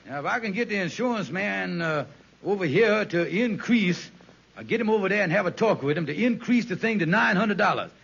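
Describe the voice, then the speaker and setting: A man's voice: high-pitched, animated, brisk, creaky, fairly bright tone, husky, full character voice. A man playing a sly, hard-up schemer in an old radio comedy, with a hesitant, stammering, folksy delivery. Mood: confiding. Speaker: sitcom actor. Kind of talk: 1940s-50s radio comedy acting. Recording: muffled, noisy old radio recording.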